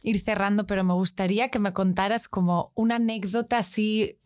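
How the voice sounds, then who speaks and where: A woman interviewing a guest, asking gentle, conversational questions. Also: mid-range voice, fairly even intonation, brisk.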